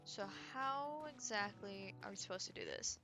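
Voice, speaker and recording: A woman's voice: medium pitch, lively intonation, normal speed. Woman streamer thinking aloud over music. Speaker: game streamer. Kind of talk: casual live gameplay commentary. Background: game music.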